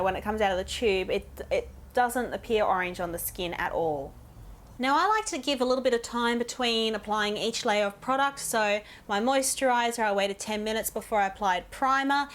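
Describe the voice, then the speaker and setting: A young woman with a slightly high voice, roughened by a cold. She chats directly to her viewers through a beauty tutorial, friendly and a bit ranty. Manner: chatty, ranting, addresses viewers directly. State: croaky from a cold. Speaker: beauty vlogger. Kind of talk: casual makeup tutorial talk.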